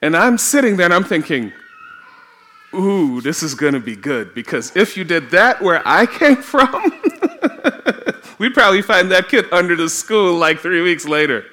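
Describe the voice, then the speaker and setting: A man's voice: sits high, very animated, pushed and bright. A man from Trinidad with a Trinidadian accent, preaching a sermon in a church and telling personal stories with frequent laughter. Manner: slow, storytelling, often laughing. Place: church sanctuary.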